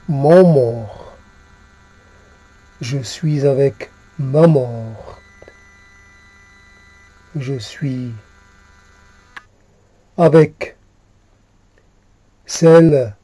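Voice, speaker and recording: A man reciting poetry in a solemn, halting manner, repeating phrases as he goes. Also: mid-range voice, warm tone, husky. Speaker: poet reciting own poem.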